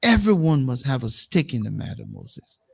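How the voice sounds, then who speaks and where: A man's voice: medium pitch, sing-song, moderate pace, vocal fry, slightly rough. A Liberian man with a Liberian accent hosting a current affairs talk show, speaking emphatically and repeating words as he builds his points.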